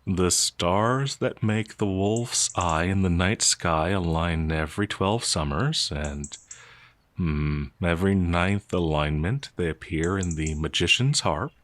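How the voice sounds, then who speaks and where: Man voicing a slow, halting, gentle old werewolf. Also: deep voice, pitched below the performer's own voice. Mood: pensive, hesitant. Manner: halting, hesitant, bewildered stammering. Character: gentle, confused, ancient male werewolf, naive and polite. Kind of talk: ASMR roleplay audio drama.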